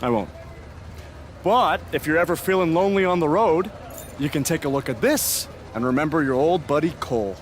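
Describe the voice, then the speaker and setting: A man's voice: a little high, expressive, moderate pace, a little hiss or hum, close to an everyday voice. A man acting as a joking, loyal young indie wrestler in a video game cutscene, with a laid-back, teasing way of talking. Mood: upbeat, supportive.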